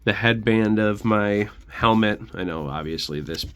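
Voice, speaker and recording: A man's voice: fairly low pitch, a little slow, fairly bright tone, clear, resonant tone. A man with a congested, sick voice giving a casual, rambling show-and-tell as host of a collection channel.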